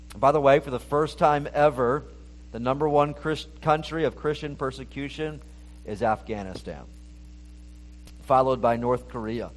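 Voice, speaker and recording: A man preaching a sermon, earnest and steady, his voice rising when he reads scripture aloud. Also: medium pitch, poor audio. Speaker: pastor.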